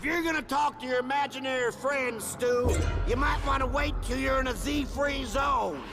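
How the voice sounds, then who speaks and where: A man's voice: very high voice, moderate pace. A man playing a brash, sarcastic, tough survivor in a video game, needling whoever he talks to. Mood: scolding. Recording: loud music behind.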